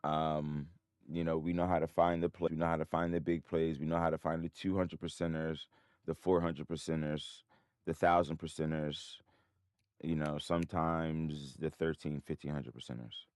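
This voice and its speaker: Deep-voiced hoarse man, dry close sound. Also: little pitch movement, normal speed, darkish tone, dry recording. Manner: rambling, many restarts and fillers. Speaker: trading livestream host. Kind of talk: casual live-stream talk.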